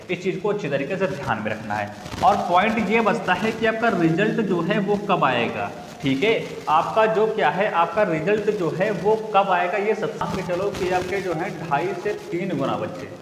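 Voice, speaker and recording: A man's voice: high-pitched, slight background noise. A young male teacher explaining exam updates to students, repeating each point and asking the class to recall it. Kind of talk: repetitive classroom-style explanation. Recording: echoey room with long reverb, faint ambience.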